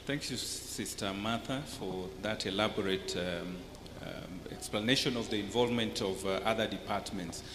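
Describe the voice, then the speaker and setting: A man with a Kenyan English accent moderates a church panel in a low voice. He asks his questions politely, with many pauses and fillers. The room is echoey, with a long reverb and faint hiss. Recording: roomy hall, faint noise.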